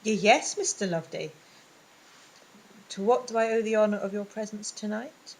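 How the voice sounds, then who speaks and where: A woman voicing a young male curate who speaks quietly, hesitantly and apologetically. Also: mid-range voice, normal speed, close to an everyday voice, rough recording. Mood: apologetic. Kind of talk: audiobook reading.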